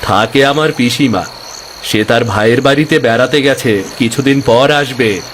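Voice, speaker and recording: A man voicing a poor, kindly young village boatman in an animated fairy tale, speaking politely and earnestly. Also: medium pitch, normal speed, a little hiss or hum, lightly acted. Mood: pleased, curious.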